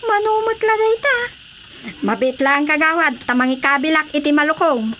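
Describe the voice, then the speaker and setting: A woman's voice: high-pitched, normal speed, close to an everyday voice. A woman with an Ilocano accent playing a deferential, coaxing porridge vendor in a radio drama comedy. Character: eager, deferential woman selling porridge at market.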